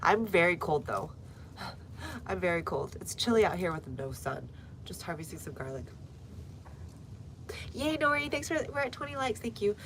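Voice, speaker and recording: A woman's voice: on the low side, big pitch swings, normal speed, pauses often, a bit bright, slight background noise. A woman with a stuffy nose chatting casually on a live stream from her garden, reading viewer comments aloud between fillers. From United States. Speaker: gardening livestreamer. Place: outdoor front garden.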